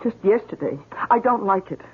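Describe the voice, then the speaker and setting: An actress playing a polite, worried wife in a 1970s radio drama, gentle and hesitant. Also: female voice, mid-range voice, expressive, normal speed, slight background noise, natural voice. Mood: worried, uneasy. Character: polite, worried, uneasy wife of a moody painter. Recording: muffled, tinny 1970s radio broadcast.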